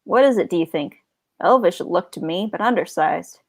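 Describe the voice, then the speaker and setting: A woman's voice: lowish voice, normal speed, natural voice. Woman voicing a grumbling, suspicious orc soldier. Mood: suspicious, sly. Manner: grumbling, rough colloquial speech. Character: grumbling, suspicious male orc captain, rough and sardonic.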